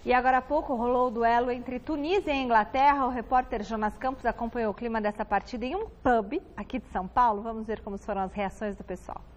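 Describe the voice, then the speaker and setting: A woman anchoring a sports news show, introducing reports briskly and conversationally. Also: fairly high pitch, long reverb tail.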